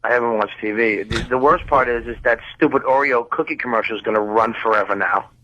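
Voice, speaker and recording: A man's voice: medium pitch, fast, bright, forceful tone, dull audio. A man who is a comedian guest in casual radio banter, ranting bluntly and with exasperation like a sports fan.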